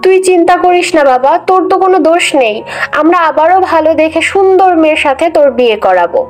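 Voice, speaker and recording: Woman playing a cruel older mother-in-law, very high voice, scolding, conniving, cartoon drama, music behind. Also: animated, fast talker, darkish tone. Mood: feigned concern. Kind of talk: Bengali moral-story cartoon voice acting. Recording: music bed under the voice.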